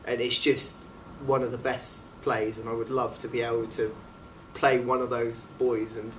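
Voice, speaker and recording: A man's voice: mid-range voice, flat delivery, moderate pace. A man, a student actor chatting casually in an interview. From England. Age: late teens. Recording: distant mic with faint background noise.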